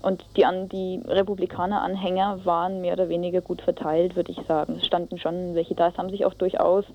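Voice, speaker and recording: A woman's voice: lowish voice, little pitch movement, brisk, a little hiss or hum. A woman giving an informal eyewitness account as a radio reporter, often searching for words in a colloquial way. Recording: dull lo-fi radio tape.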